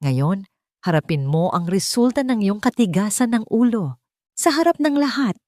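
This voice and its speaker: A woman's voice: mid-range voice, expressive, normal speed, a little mellow, no echo, clean studio sound, voice pushed up, natural voice. A woman voicing a stern female nurse supervisor who speaks in a cold, official tone. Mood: cold, reprimanding.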